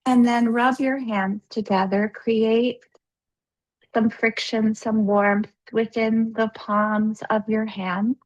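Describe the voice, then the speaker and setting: Slow-paced woman guiding meditation. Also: on the high side, little pitch movement, poor audio. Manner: soothing, unhurried, gently instructing with pauses. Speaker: yoga therapist leading guided practice. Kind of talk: guided yoga nidra meditation.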